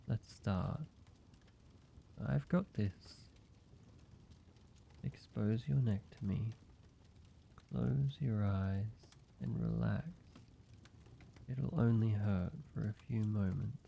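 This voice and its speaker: A tender, earnest vampire lover played by a man speaks intimately to the listener in an audio roleplay. The voice is lowish and gravelly, with halting, reassuring phrases. The recording is slightly dull. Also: heavily acted. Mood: gentle, nervous. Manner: halting, hushed pleading, soft reassurance with pauses. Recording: dull sound, faint background noise.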